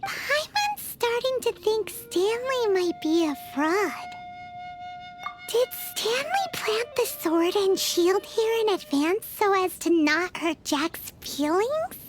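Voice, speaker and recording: A woman's voice: extremely high pitch, big pitch swings, slow, music in the background, heavily acted. A woman voicing a tiny, cute, cheeky and outspoken fairy companion in a video game. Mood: chirpy, curious. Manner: refers to self in third person, blunt. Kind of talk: English video game voice acting.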